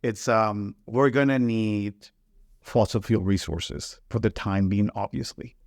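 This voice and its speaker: Earnest Ecuadorian man, lowish voice. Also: steady tone, slow pace, a little mellow, no room sound, studio quality. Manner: earnest, hesitant with repeated words. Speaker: guest, environment director. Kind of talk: casual interview conversation.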